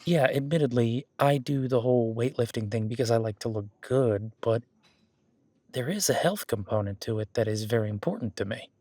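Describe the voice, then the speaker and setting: A man's voice: medium pitch, normal speed, darkish tone, no echo, natural voice. Man playing a casual, reassuring werewolf boyfriend, close and dry. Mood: earnest, reassuring. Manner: casual, reassuring, rambling self-corrections. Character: friendly male werewolf gym-goer, easygoing supportive boyfriend. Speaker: voice actor in an audio roleplay. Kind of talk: conversational one-sided roleplay acting.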